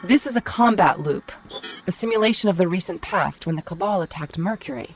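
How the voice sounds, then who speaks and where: A woman's voice: fairly low pitch, lively intonation, a little fast. A woman voicing a small, witty and cocky female AI companion in a video game, wry, self-assured and conversational. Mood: determined. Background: loud cinematic game music.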